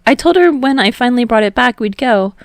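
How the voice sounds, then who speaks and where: A woman's voice: on the high side, brisk, no room sound, studio quality, pitched above the performer's own voice. A woman voicing a bubbly, eager young man, chatty and a little sheepish, as an audiobook character. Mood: warm, sheepish. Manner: energetic, hopeful, gushing. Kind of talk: fan fiction audiobook character voice.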